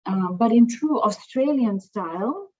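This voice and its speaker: A middle-aged woman from Sri Lanka, a museum director speaking as a guest in an interview, thoughtful and searching for words with many pauses and fillers. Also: a little high, animated, dark tone. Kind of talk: interview conversation. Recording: dull lo-fi sound.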